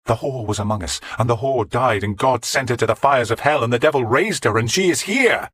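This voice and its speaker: A man's voice: a little high, a little fast, dry recording, pitched above the performer's own voice, lightly acted. A man voicing a frenzied blind old monk who howls and chants in a raving fit. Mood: ranting. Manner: shrieking, howling zealot chant.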